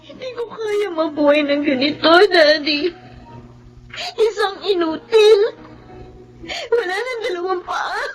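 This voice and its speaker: A woman's voice: very high voice, slow pace, pauses often, poor audio. A young Filipina woman acting as a bitter, despairing daughter in a TV drama. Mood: tearful despair.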